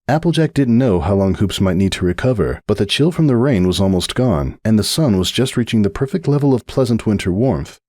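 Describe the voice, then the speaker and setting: A man's voice: lowish voice, animated, a little fast, hardly any pauses, dark tone, dry recording. Man narrating fiction in a dry recording. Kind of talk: dramatic fiction reading, scripted narration.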